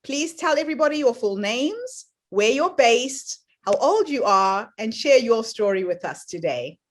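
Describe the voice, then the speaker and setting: Upbeat New host, higher voice. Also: female voice, very animated, measured pace. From New Zealand. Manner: enthusiastic, welcoming. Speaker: podcast host. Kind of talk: podcast interview hosting.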